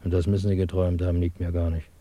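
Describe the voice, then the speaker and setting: A man's voice: low voice, flat delivery, rapid-fire, warm tone. An actor playing a guarded, cynical intelligence handler in a radio play, wry and precise in his phrasing. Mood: ironic. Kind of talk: German radio play acting.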